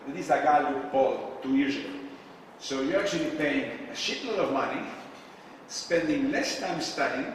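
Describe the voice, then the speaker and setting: A man's voice: medium pitch, fairly bright tone. A man presenting to a crowd at an event, heard from a distance in a big hall with a long, roomy reverb. He talks casually, stopping often on "uh" and asking the audience questions as he goes. Faint room noise sits under his voice. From Argentina. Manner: casual, frequent filler pauses, asks the audience questions. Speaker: conference speaker. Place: event space with a live audience. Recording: echoey hall, distant mic.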